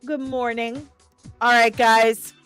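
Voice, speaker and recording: Female streamer, higher-pitched, unhurried, playful and expressive, casual chat with viewers over faint music. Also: smooth. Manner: playful, expressive, sing-song greetings. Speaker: live stream host reviewing comics and screen news. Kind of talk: casual livestream chat, reading out viewer comments. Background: faint background music.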